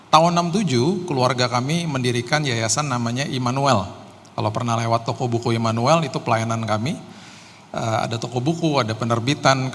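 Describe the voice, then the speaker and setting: Middle-aged male pastor, relaxed joking preacher who hesitates often; recorded in a reverberant church hall with faint background hum. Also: medium pitch. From Indonesia. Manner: casual, joking preacher with many filler "eh"s. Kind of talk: sermon with personal testimony.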